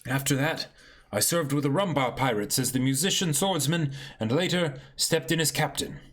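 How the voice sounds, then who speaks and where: A man's voice: fairly high pitch, normal speed, fairly bright tone. A man voicing a courteous, earnest skeleton pirate swordsman in a comic reading, speaking formally.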